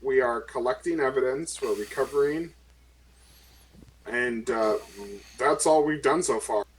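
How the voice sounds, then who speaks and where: A man's voice: mid-range voice, measured pace, slight background noise, poor audio. A man playing a tabletop game, talking casually through the group's situation in character.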